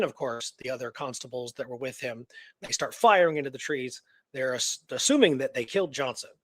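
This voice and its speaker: A man's voice: mid-range voice, fairly bright tone, dry recording. A man telling a history story in a casual, rambling way, repeating words for emphasis. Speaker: co-host telling a true crime history.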